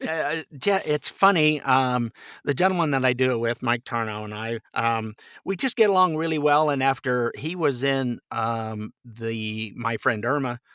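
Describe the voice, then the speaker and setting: Mature male podcast guest, forceful bright tone, very dry sound, jovial rambling raconteur. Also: mid-range voice, normal speed, clear, resonant tone, no room sound. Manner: jovial, rambling storyteller, acts out quoted dialogue. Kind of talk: casual interview chat, anecdotes.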